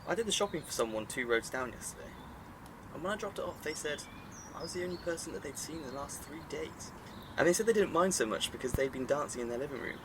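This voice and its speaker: A man's voice: fairly high pitch, expressive, quick pace, lightly acted. Actor as a thoughtful man, noisy outdoor scene. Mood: reflective. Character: calm, reasonable, reflective man. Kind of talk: naturalistic audio drama acting.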